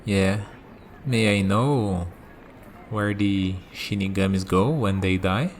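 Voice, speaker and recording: A man playing a calm, curious villain in a scripted ASMR roleplay, musing and dryly teasing as he talks. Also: on the low side, slow pace, a little hiss or hum. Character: calm, curious young male villain bargaining with a death god.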